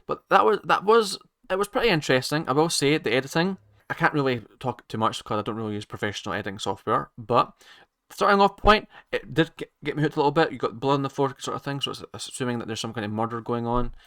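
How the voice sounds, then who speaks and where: A man hosts a casual reaction video in a bright, forceful voice. He talks haltingly, rambling and doubling back on his own phrases. The sound is dry, with hardly any room in it. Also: medium pitch, expressive, no echo. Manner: halting, rambling, circles back to repeat himself. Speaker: reaction channel host.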